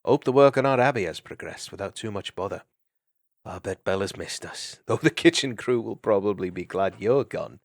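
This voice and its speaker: A man voicing a steady male mouse warrior who talks calmly and teases gently. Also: lowish voice, moderate pace, no echo, clean studio sound. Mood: wry. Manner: calm, wry, gently teasing.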